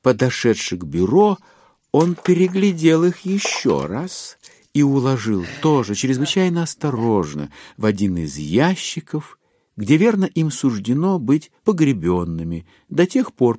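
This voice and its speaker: Literary narrator, audio play, animated intonation, storytelling delivery, dry studio sound. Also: male voice, mid-range voice, no room sound. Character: storytelling narrator of a classic satirical novel.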